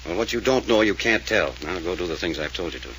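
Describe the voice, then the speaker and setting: An actor playing a brusque, unflappable investigator, curt, commanding and dry, in a 1940s radio mystery. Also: male voice, sits low, expressive, fast, fairly bright tone. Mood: impatient. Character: brusque, unflappable male investigator taking charge. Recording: noisy old radio transcription.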